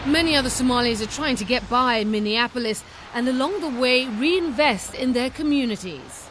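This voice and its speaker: A woman presenting a television news magazine, reading scripted narration in a measured, formal way. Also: on the high side, expressive, fairly bright tone, slight background noise. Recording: voice-over with music behind.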